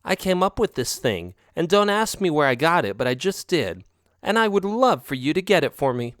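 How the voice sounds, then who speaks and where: Guy doing a high whiny manipulative girl cat, big swoopy voice, dry sound. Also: sing-song, normal speed, fairly bright tone, no room sound. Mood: wheedling. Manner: whiny and manipulative, breaking into sobs. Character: pushy, dramatic young female alley cat.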